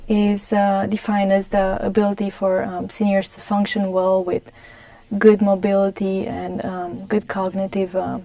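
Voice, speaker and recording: Young woman, Romanian accent, guest on a community TV show; even, mellow delivery, halting and careful. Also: mid-range voice, dry recording, low-quality recording. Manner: careful, many ums and restarts. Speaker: studio guest. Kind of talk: studio interview. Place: TV studio.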